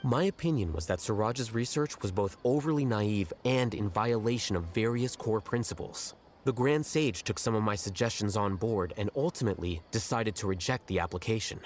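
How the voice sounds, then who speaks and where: An actor playing a blunt, aloof male scholar in a game, measured and condescending. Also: on the low side, slight background noise, lightly acted. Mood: cool. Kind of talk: game voice acting.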